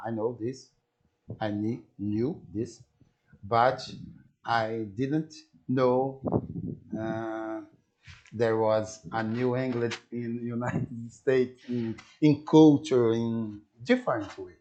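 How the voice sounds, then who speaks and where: A man with a Brazilian accent makes casual conversation in a second language. He speaks slowly, repeats his phrases and stops to search for the next word. The sound is dull and lo-fi. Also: mid-range voice, steady tone, a little mellow. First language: Portuguese. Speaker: English teacher practising conversation. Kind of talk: casual cross-cultural conversation. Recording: dull lo-fi online call audio.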